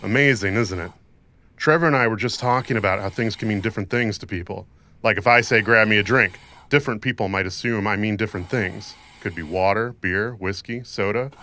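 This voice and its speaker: An actor playing a bitter, tough cyberpunk mercenary and mentor in an audio drama, wry and goading. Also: male voice, lowish voice, brisk, pushed and bright. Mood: wry, wounded.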